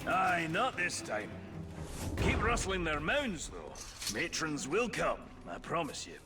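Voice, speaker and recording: Boisterous game dwarf hunter: high-pitched for a male, swooping delivery, gruff bluster, music underneath. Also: takes it slowly. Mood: grim resolve. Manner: hearty, blustering, animated. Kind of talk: video game cutscene acting.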